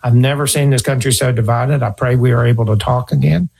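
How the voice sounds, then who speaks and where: A man's voice: medium pitch, fairly even intonation, fairly quick, gravelly, a little mellow, breathy edge. A man in his fifties, a financial advisor talking earnestly, telling stories and repeating his points. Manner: earnest, storytelling, repeats himself. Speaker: financial advisor radio host. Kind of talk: conversational advice talk.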